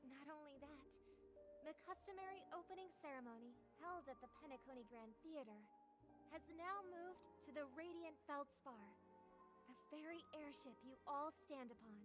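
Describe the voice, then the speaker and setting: An actress playing a gracious young woman giving a formal, measured ceremonial speech in a video game. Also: high voice, over music, close to an everyday voice. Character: gracious young female singer addressing festival guests.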